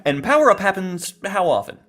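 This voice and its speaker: A man's voice: high-pitched, big pitch swings, a little fast, fairly bright tone, exaggerated voice. A man playing a playful, pedantic xenobiologist in an audio drama, teasing and quizzing in a precise, professorial manner. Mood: professorial, enthusiastic.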